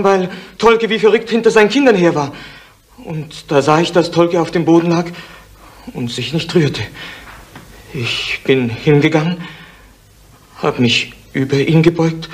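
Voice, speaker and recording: Actor as anxious, highish-voiced male suspect. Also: lightly acted. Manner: halting, pleading. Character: anxious male murder suspect pleading innocence. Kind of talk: 1970s German radio crime drama acting.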